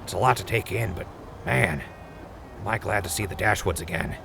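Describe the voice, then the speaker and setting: Man playing a low, gravelly weary traveler. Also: brisk, noisy background. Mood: weary relief. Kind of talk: audio drama acting.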